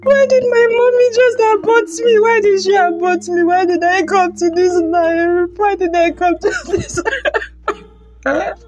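A woman's voice: extremely high pitch, fairly even intonation, unhurried. A woman playing a distraught, despairing young mother in an audio drama, with a Nigerian accent and a tearful, wailing delivery. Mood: anguished despair. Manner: tearful, wailing, repeating her laments. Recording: dull lo-fi sound under loud music.

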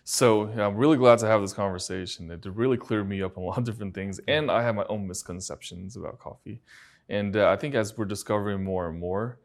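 A man from China, a physician, interviewing a guest in a halting, curious way with many restarts. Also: on the low side. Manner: halting, repeats words, curious. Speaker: physician host. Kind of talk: casual interview.

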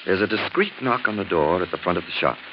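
A man's voice: deep voice, animated, fast, loud music behind the voice. An actor playing an urbane criminologist, narrating his case with wry, theatrical polish in 1940s radio mystery style. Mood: wry, ominous. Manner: suave, wry, theatrical storyteller. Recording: dull 1940s radio recording.

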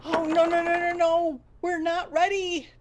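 An actress playing a desperate, bossy radio station owner in an audio comedy, theatrical and commanding. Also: female voice, very high voice, level tone, unhurried, very noisy. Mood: flustered. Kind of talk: comedy audio drama acting.

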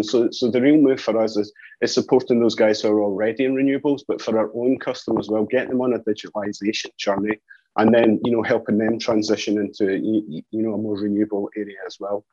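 A man's voice: lowish voice, darkish tone. A man with a Scottish accent giving an informal business interview, often padding sentences with "you know" and "as well". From Scotland. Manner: repeats "you know" and "as well" as verbal tics. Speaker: company executive being interviewed. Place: office, on a video call. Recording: muffled, roomy video-call audio.

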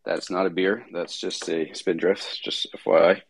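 A tired man with a raspy voice hosting a casual market-review chat, rambling with many fillers and restarts. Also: low voice, little pitch movement, a little slow, noisy background. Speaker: host.

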